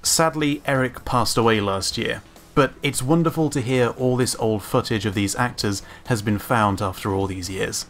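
A man giving scripted analytical commentary as a video narrator, in an informal, measured, opinionated way. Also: medium pitch, fairly bright tone. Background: background music.

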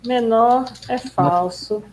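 Slow-talking woman on a video call. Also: on the high side, a loud crowd. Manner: thinks aloud while typing code, hesitant. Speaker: coding dojo participant. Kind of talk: casual pair-programming chat on a video call.

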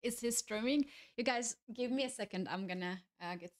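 A woman's voice: fairly high pitch, normal speed. A young woman with a Georgian accent, chatting casually to her stream viewers while playing chess, musing aloud with many fillers and restarts. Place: small home streaming room, indoors.

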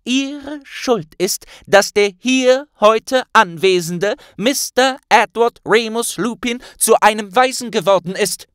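A man's voice: sits high, takes it slowly, few pauses, fairly bright tone, clean tone, no room sound, studio quality, voice pushed up. A man voicing a stern, accusing wizard grandmaster, pompous and declamatory, in a storybook reading.

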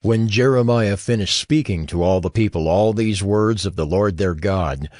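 A man narrating a dramatized audio Bible in a measured, formal storytelling manner. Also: fairly low pitch, a little mellow, no echo, studio quality.

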